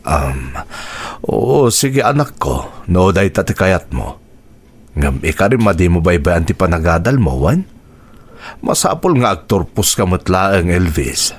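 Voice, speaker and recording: A supportive, fatherly figure for a radio drama. His voice sits very low and moves expressively through the lines, with an earnest, encouraging manner. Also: very animated, a little slow, husky, full character voice. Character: supportive father who once dreamed of music himself. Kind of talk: Ilocano radio drama acting.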